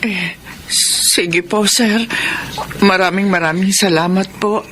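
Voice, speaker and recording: A woman's voice: mid-range voice, steady tone, slow pace, fairly bright tone. An actress with a Filipino accent playing a worried middle-aged mother in a radio drama, hesitant, polite and pleading. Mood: anxious, sorrowful. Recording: noisy radio drama broadcast.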